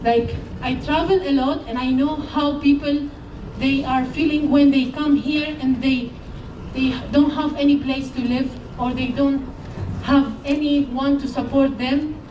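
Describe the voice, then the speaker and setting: A woman from Syria giving an earnest, halting speech at an outdoor protest. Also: high voice, fairly even intonation, dark tone, dull audio. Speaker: protest speaker. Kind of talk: rally speech. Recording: echoey and distant with faint noise.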